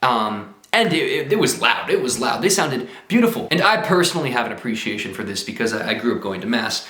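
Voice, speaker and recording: A man's voice: mid-range voice, very animated, brisk, bright, forceful tone. A man talking casually to camera in a travel vlog, chatty and enthusiastic with lots of exclamations. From United States. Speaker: travel vlogger. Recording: roomy echo.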